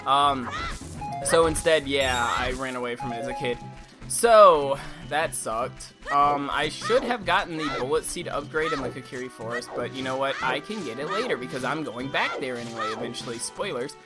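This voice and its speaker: A young man with a slight lisp giving casual, rambling commentary over a video game, swearing freely and now and then breaking into silly high voices. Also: moderate pace. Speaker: let's play commentator. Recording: game music loud behind voice.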